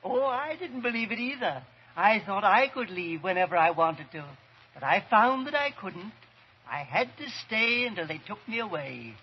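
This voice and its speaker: An actor playing the smug ghost of a murderer with mannered, mocking politeness in old-time radio horror. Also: male voice, high-pitched, lively intonation, moderate pace, husky, full character voice. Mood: ominous, triumphant. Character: smug, gloating male ghost of a wife-killer. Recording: dull, noisy vintage radio recording.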